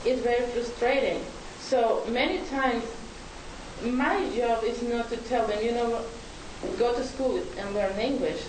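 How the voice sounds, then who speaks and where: A woman's voice: on the high side, unhurried, a little mellow. A woman from Mexico with a Mexican Spanish accent gives an earnest, hesitant forum presentation with many restarts. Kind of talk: public talk at a forum. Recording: echoey hall, distant mic, hiss.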